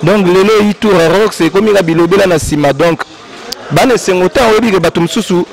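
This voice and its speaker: Congolese-accented male guest artist, high gravelly voice, rambling, soft music under. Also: a little fast, rough recording. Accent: Congolese French. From Congo-Brazzaville. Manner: rambling, repetitive, shout-outs. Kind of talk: interview in Lingala and French.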